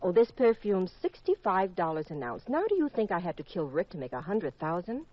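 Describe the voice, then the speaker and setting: Flirty widow on vintage radio. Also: female voice, fairly low pitch, big pitch swings, fairly quick. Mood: seductive. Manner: coy, teasing, unsentimental. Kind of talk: 1950s radio detective drama acting. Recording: dull vintage radio recording.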